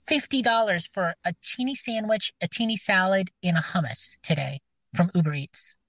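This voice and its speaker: A woman's voice: mid-range voice, normal speed, fairly bright tone, dry recording. A woman with a scratchy voice chatting casually, rambling and hesitant with many fillers and restarts. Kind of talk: casual chat. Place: outdoors, near her car.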